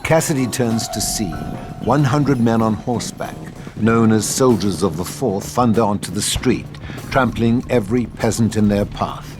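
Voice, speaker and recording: A man's voice: lowish voice, normal speed. A man reading stage directions as the narrator of a script, in a scripted narration style. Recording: music behind the narration.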